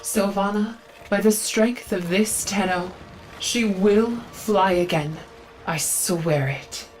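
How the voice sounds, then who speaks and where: A woman playing a devout leader of a faith in a video game, speaking in a measured, earnest, formal way. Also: mid-range voice, faint music behind, natural voice, low-quality recording. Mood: solemn conviction. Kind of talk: dramatic video game acting.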